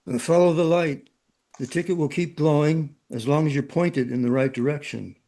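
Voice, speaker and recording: An actor playing a brusque, unimpressed spaceport ticket supervisor, curt and bored, in a science fiction audio drama. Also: male voice, fairly high pitch, fairly even intonation, normal speed, dark tone, natural voice.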